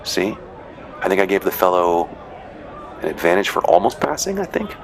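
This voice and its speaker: A man's voice: sits low, sing-song, normal speed, creaky, a faint crowd, a little hiss or hum. A man casually narrating footage of matches he refereed, chatty and rambling with dry joking asides. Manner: rambling, chatty, frequent "you know" and "uh". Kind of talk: casual commentary.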